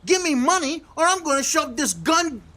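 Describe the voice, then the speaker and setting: A man's voice: extremely high pitch, big pitch swings, moderate pace, pushed and bright, exaggerated voice. A man acting as a belligerent, easily rattled robber in an audio play, incredulous and gullible. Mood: threatening.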